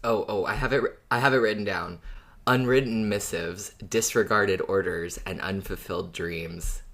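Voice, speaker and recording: Female podcast co-host, extremely low voice, informal chat, wry and self-deprecating. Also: moderate pace, fairly bright tone. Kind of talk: casual fan podcast chat.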